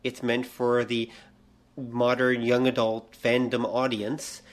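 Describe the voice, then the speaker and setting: A man's voice: medium pitch, completely flat delivery, slow pace. A middle-aged man co-hosting a podcast, talking casually in a thoughtful, questioning way. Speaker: podcast co-host. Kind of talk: casual conversational podcast discussion.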